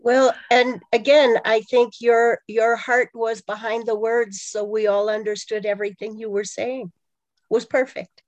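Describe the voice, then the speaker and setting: A woman's voice: a little high, little pitch movement, measured pace, no room sound, dull audio. A workshop participant, a woman speaking earnestly and appreciatively over a video call.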